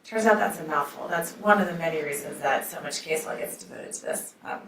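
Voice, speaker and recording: A soft-spoken woman judge moderating a law school debate, opening with remarks and reading from a ruling, with many ums. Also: low-pitched, a little fast, a crowd in the background. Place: lecture hall. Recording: echoey hall, mic at a distance.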